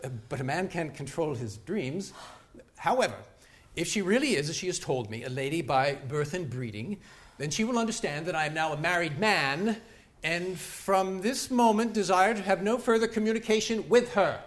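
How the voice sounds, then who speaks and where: Actor as period newlywed husband: sulky, placating, theatrical, highly animated delivery for comic stage reading. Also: male voice, normal speed.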